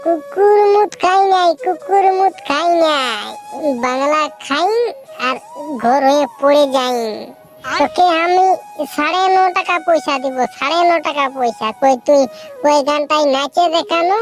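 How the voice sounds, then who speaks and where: A man voicing a maudlin village drunkard in a comic cartoon, with drawn-out drunken speech and a Purulia Bengali accent. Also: very high voice. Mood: drunken boasting. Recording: background music throughout.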